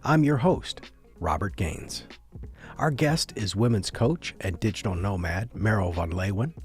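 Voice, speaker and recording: A man's voice: on the low side, lively intonation, unhurried, darkish tone, soft background music. A man speaking as a podcast host and casual interviewer.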